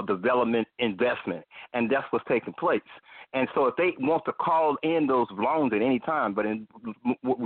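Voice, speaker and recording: A man giving measured, lecturing commentary drawn from scripture, repeating his points. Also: medium pitch, no room sound, narrow, tinny audio.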